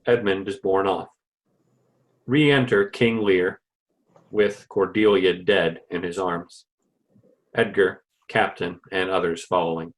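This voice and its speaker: A man's voice: low-pitched, steady tone, slow pace, long pauses, low-quality recording. A man reading stage directions as scripted narration for a play.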